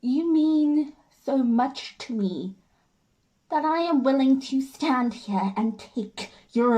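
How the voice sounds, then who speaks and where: Slow, impassioned wife played by a young woman. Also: a little high, warm tone, voice pushed up, rough recording. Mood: pained, loving. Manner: emphatic, drawn-out, impassioned. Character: hurt, defiant, fiercely loving wife in a stage play. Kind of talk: theatrical dramatic monologue.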